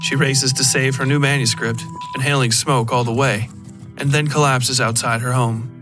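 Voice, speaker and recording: Man narrating dark fiction over music. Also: mid-range voice, fairly even intonation, moderate pace. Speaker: narrator of a horror fiction podcast. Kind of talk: scripted dramatic story narration.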